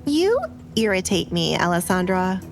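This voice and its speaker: A woman's voice: fairly low pitch, very animated, measured pace, vocal fry, heavily acted. A woman voicing a spoiled, spiteful young woman with a haughty, whining manner in an audio drama. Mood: irritated.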